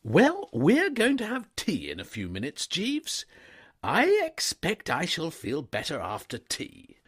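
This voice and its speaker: A man voicing a bright, excitable upper-class young English gentleman who stammers and chatters in breezy slang. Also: on the high side, takes it slowly, slightly rough, voice pushed up, full character voice. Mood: dismayed.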